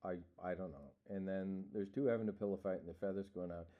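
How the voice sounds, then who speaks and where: A man's voice: low-pitched, fairly even intonation, fast, phone-quality sound. A man reading a children's picture book aloud in an informal, rambling, playful way with goofy ad-libbed asides.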